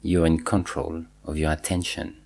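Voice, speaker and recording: A man guiding a body scan meditation, giving measured instructions in short phrases with pauses and repetition. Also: rumbling low, little pitch movement, creaky, darkish tone. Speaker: meditation teacher.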